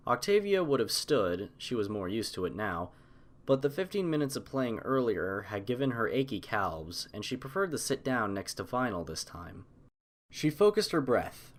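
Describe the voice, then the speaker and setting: A man narrating a scripted story as an audiobook reader, in a measured, expressive storytelling manner. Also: medium pitch.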